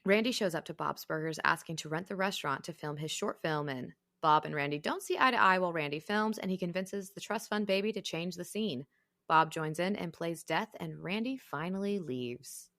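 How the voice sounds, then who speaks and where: A woman's voice: lowish voice, moderate pace, dry recording. A woman in her thirties with a Southern accent, co-hosting a podcast in chatty, casual talk. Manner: chatty, animated, swears casually. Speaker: podcast co-host. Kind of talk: casual chat.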